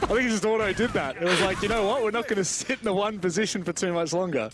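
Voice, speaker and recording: A man doing live play-by-play esports commentary in an expressive, exclamatory way with joking asides. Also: high-pitched, fast, heavy background noise.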